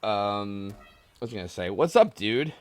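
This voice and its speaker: A man's voice: on the low side, animated, unhurried. A man hosting a call-in podcast, chatting casually with a jokey, ribbing manner. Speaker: podcast host taking callers. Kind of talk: casual chat.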